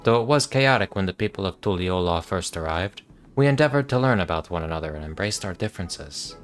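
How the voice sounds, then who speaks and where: A man reading video game dialogue aloud in a monotonous voice, with casual asides. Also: sits low, a little fast.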